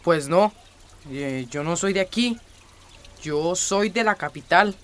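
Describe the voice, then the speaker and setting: An actor playing a guarded young loner bluffing toughness in a radio drama. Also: male voice, high-pitched, expressive, slow pace, a bit bright, full character voice.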